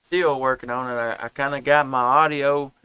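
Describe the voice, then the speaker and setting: Guy doing ham radio stream chat, bright voice, close dry mic, folksy. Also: medium pitch, steady tone, moderate pace, clear, resonant tone, no room sound. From United States. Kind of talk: casual amateur radio chat. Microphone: headset and boom microphones.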